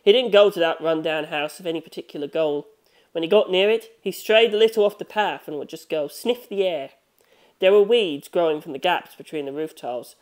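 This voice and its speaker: A man reading scripted fiction as an audiobook narrator. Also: fairly high pitch.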